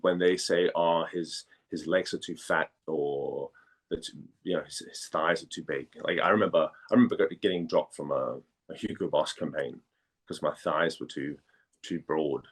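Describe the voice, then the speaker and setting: A man's voice: a bass voice, normal speed. A man from Denmark speaking casually as an interview guest, often repeating words as he thinks his way through a point. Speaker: guest, actor and former model.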